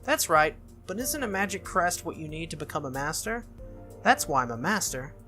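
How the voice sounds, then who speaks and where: A man's voice: fairly high pitch, very animated, normal speed, pushed and bright, soft background music, pitched above the performer's own voice. A man voicing an innocent, cheerful little girl from a noble family, childlike and eager, in a visual novel read-through. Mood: matter-of-fact.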